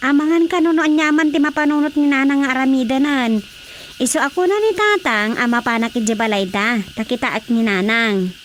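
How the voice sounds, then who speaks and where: A woman's voice: high voice, brisk, slight background noise, close to an everyday voice. A woman playing a worried married daughter in a Filipino radio drama comedy, speaking expressively with a Filipino accent. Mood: worried, concerned. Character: worried married daughter, concerned for her quarreling parents.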